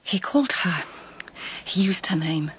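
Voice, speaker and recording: An actress playing a proud, devoted lady-in-waiting at a Japanese imperial court in a radio drama, precise, formal and breathy. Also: lowish voice, lively intonation, unhurried, a bit bright, a little hiss or hum. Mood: prim, insistent. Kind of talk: radio drama acting, poetic narration.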